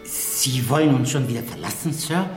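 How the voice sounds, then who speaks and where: An actor playing an obsequious, gossipy hotel receptionist in a 1970s German radio drama, speaking with servile politeness. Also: male voice, mid-range voice, expressive, moderate pace, a little mellow, natural voice. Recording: roomy sound, faint music.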